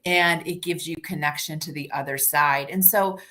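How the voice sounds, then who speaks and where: A woman hosts a spiritual interview with a bright, forward voice pitched a little low. She affirms her guest often and asks curious, open questions. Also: steady tone, normal speed. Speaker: podcast host. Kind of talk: conversational interview.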